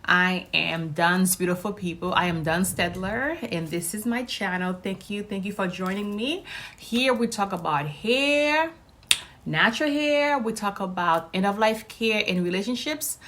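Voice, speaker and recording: Female vlog host, native Haitian Creole speaker, mid-pitched voice, upbeat and informal, addressing her audience directly. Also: measured pace, a bit bright. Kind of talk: casual chat to viewers.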